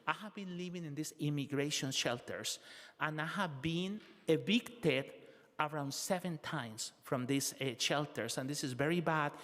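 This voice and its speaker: Halting male interpreter in a reverberant hall. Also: fairly high pitch, fairly bright tone. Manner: halting first-person interpreting with filler "eh" and tag "huh?". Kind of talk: consecutive interpretation of public testimony. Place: city council hearing room.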